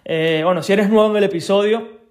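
A young man with a Venezuelan accent hosting a solo podcast, talking conversationally with frequent tag questions and pauses. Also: high voice. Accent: Venezuelan Spanish. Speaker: podcast host.

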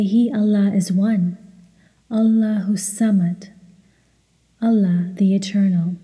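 A woman leading a guided meditation, giving measured, soothing instructions and recitations. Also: mid-range voice, lo-fi sound. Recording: long hall-like reverb.

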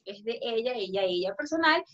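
A woman co-host, playful and affectionate, talking in quick bursts. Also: a little high, animated, fairly bright tone. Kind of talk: casual talk show chat. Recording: distant, dull lo-fi sound.

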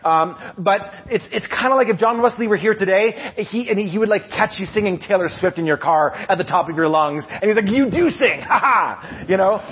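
Male preacher, loud voice, somewhat high pitch, husky tone, muffled sound, conversational sermon. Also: fairly quick, hardly any pauses. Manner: animated, self-deprecating, many restarts. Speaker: preacher and music leader.

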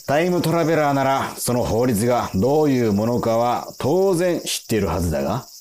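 An actor in a radio drama playing a gruff, cocky time-patrol officer, rough and condescending, easily irritated. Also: male voice, mid-range voice, big pitch swings, a little fast, a little mellow, a little hiss or hum, lightly acted. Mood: stern, threatening. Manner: condescending, rough interrogator talk.

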